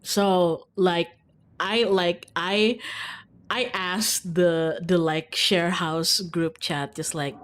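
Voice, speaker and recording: A young woman from Indonesia, streaming and telling a rambling story about share-house life, full of "like" and restarts. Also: mid-range voice. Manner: rambling, filler-heavy, lots of "like". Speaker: VTuber streamer. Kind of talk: casual storytelling while gaming.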